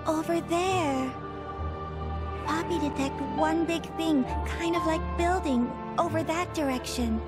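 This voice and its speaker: A woman's voice: very high-pitched, slow pace. A woman voicing a small childlike robot girl in a video game, earnest and helpful, speaking in simple broken grammar. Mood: earnest, alert. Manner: simple broken grammar, refers to self by name. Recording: loud music under the voice.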